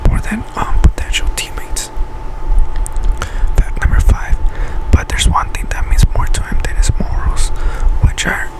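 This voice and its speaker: A man reading an article aloud in an ASMR whisper, halting and often stumbling over words and correcting himself. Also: rough recording. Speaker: ASMR channel host.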